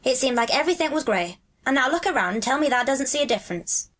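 A woman's voice: high voice, expressive, fast, fairly bright tone, voice pushed up. An earnest young Yorkshire lad from a storybook, as a woman narrator acts him out. He talks in broad rustic dialect, plain and homely. His manner is kindly and reassuring. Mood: hopeful.

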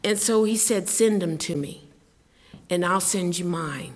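A woman's voice: on the low side, unhurried, big-room sound. A middle-aged woman, a singer-songwriter, telling a rambling, chatty story between songs at a live show, laughing as she goes. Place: live concert venue with audience.

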